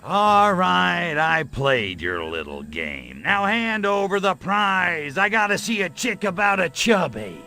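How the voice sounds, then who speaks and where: A brash little alien invader from a video game. The voice is gravelly and bright, swinging widely in pitch as it sneers out slangy wisecracks. He is sarcastic and short-tempered. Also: wildly swooping pitch, takes it slowly, few pauses, soft background music, exaggerated voice. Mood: impatient. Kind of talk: video game cutscene acting.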